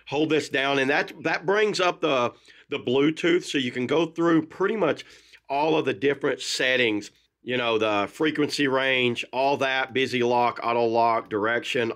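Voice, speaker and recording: A middle-aged man talks through a product at a desk as an unboxing host. His pitch is ordinary for a man, and his way of talking is informal and folksy. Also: a bit bright. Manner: informal, folksy phrasing with "y'all" and "gonna". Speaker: gear reviewer, host. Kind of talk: casual unboxing and product review. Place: indoors at a desk.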